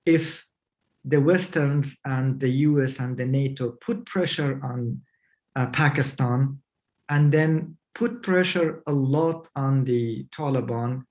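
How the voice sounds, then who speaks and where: Slow, halting man. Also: fairly high pitch, darkish tone. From Afghanistan. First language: not English. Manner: halting, many fillers and restarts. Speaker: interview guest. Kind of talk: remote interview answers.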